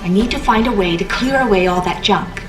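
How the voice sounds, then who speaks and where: A woman voicing a young escaped psychic test subject in a game, deadpan, matter-of-fact and coolly menacing. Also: medium pitch, fairly quick, far from the mic, over music, close to an everyday voice. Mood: focused.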